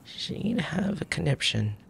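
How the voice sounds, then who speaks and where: A man playing a soft, tender young crown prince in an intimate ASMR roleplay, speaking formally with a gentle, hesitant manner and a troubled air. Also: a little high, very animated, takes it slowly, creaky, slight background noise, heavily acted. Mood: apologetic, troubled. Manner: formal old-fashioned wording, gentle, hesitant.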